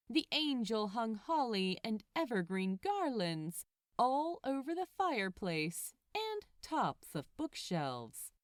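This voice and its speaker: A woman reading a story aloud in an expressive, deliberate storytelling style. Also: medium pitch, no room sound, studio quality. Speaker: podfic narrator. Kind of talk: scripted storybook narration, read aloud.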